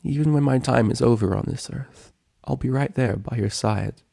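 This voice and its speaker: A man's voice: mid-range voice, normal speed, vocal fry, warm tone, pitched above the performer's own voice. A man voicing a grieving, devoted female unicorn in a story reading, earnest and strained with emotion. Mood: tender grief.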